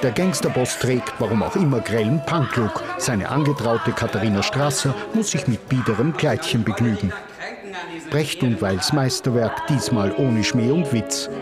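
A man's voice: medium pitch, expressive, moderate pace, over loud music. A man reading a scripted, dryly critical narration for a TV culture report.

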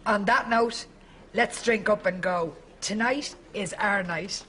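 A woman's voice: medium pitch, slow, fairly bright tone. A woman playing a sardonic, brash single Irishwoman in a sitcom. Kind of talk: sitcom acting. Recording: noisy studio sitcom audio.

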